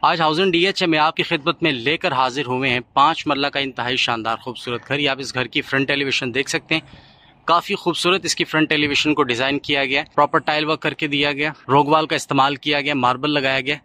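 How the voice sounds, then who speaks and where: A man with a Pakistani Urdu accent gives a real estate house tour as a YouTube host, in steady salesman patter with stock phrases. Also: fairly high pitch, fairly quick. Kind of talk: walkthrough narration. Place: inside an empty new house.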